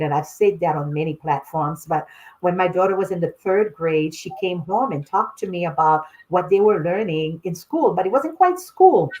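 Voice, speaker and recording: A middle-aged woman from Haiti talks as a sexologist guest on a casual podcast. She tells personal stories in an animated way and laughs often. Her voice sits somewhat low. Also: rough recording. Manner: animated storyteller, laughs often, addresses listeners as y'all.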